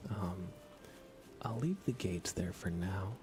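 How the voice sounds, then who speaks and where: A man giving hushed, soft-spoken ASMR gaming commentary as a live streamer, often dropping into a whisper. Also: low voice, slow. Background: music.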